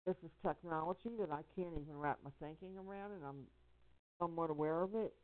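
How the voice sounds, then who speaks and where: Low-voiced woman on a tinny phone line. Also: quick pace. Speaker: caller on a conference call. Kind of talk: impassioned personal testimony. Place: telephone conference call.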